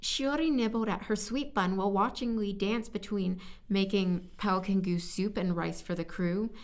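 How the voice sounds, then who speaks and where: A woman recording scripted story narration as a voice actor, halting at times and repeating lines. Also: mid-range voice. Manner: halting, stumbling over words and repeating takes.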